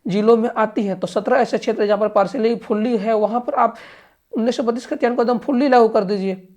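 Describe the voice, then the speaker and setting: A woman's voice: medium pitch, darkish tone. A woman giving informal political commentary, emphatic and often restating her points. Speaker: news commentator. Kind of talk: informal political commentary and explainer, addressing government directly.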